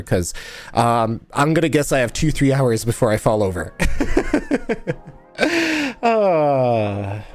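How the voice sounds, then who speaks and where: A man's voice: medium pitch, a little mellow. A man live streaming and still sniffly from a recent cold. He rambles from one topic to the next, thanks viewers and answers their comments, with faint music playing underneath. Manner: rambling, chatty, reads and answers chat. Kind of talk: casual live-stream chat while editing.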